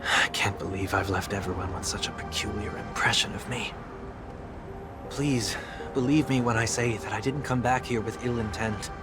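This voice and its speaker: An actor playing a courteous, earnest young exile in a game cutscene, speaking gently and formally with a weary air. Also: male voice, on the low side, moderate pace, natural voice. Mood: pleading, wounded. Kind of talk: dramatic video game cutscene dialogue. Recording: music under the voice.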